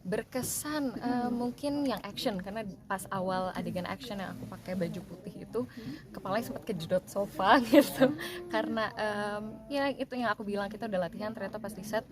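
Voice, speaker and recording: Relaxed actress at a noisy press event, mid-range pitch, easy chatty delivery. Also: female voice, fairly quick. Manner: chatty and relaxed, frequent "eh" and "em" fillers. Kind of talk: casual press interview. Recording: loud crowd noise behind.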